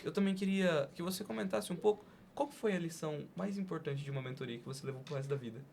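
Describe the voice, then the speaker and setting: A man's voice: on the high side, fast talker, vocal fry, darkish tone, slight background noise. A man with a Brazilian Portuguese accent, hosting a podcast and interviewing in a casual, conversational way. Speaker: podcast host.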